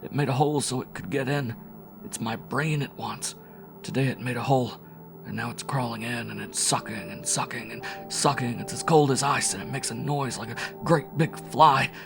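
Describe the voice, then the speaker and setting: A man's voice: mid-range voice, moderate pace, vocal fry, over music, voice pushed up, natural voice. A man voicing a delirious, terrified young man who sobs and cries out wildly. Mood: raving. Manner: sobbing, crying out wildly.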